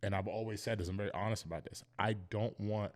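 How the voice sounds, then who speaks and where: Young man, from Northern Virginia, podcast guest, reflective, earnest, low-pitched voice. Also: fairly even intonation, quick pace. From Northern Virginia, United States. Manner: reflective, earnest, leans on "you know what I mean". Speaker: podcast guest, professional football player. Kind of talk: casual interview chat.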